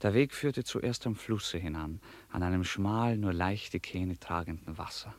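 A man narrating a literary 1950s radio play as an expressive, measured storyteller. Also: sits low, no echo.

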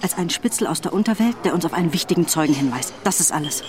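A woman's voice: on the low side, expressive, racing, a crowd in the background, close to an everyday voice. An actress in a radio play playing a determined, loyal woman investigator who argues earnestly and persuasively. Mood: indignant, insistent.

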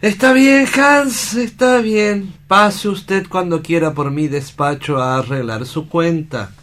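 Slow-spoken, gentle doctor. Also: male voice, high-pitched. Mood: resigned. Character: calm, hesitant, kindly male doctor running a refuge. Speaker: actor in a radio play. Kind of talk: dramatic staged radio reading.

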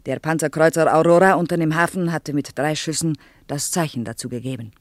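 A woman's voice: sits low, fairly quick, dry recording, natural voice. Actress doing a thirtysomething russian lady guide, earnest n lecturing, russian accent, radio play, close dry sound. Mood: eager, brisk. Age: 30s. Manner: earnest, didactic, drops articles. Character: earnest, shy Soviet woman tour guide in her thirties. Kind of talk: radio drama acting.

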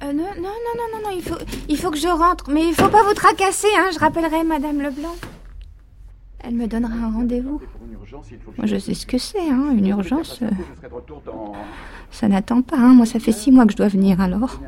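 Hesitant country patient. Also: female voice, high voice, normal speed, crowd noise. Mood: hurried. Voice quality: hesitant, many fillers. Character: rural female patient, timid and apologetic. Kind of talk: radio drama acting.

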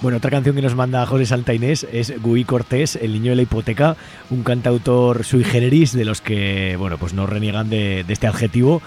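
A man's voice: medium pitch, steady tone, fairly quick, music in the background. A man hosting a radio show in an informal, conversational way, often adding tag questions. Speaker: radio host. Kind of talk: conversational radio interviewing and presenting.

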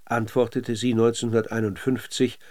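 A man narrating a scripted radio documentary in a measured, literary manner. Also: medium pitch, little pitch movement, darkish tone.

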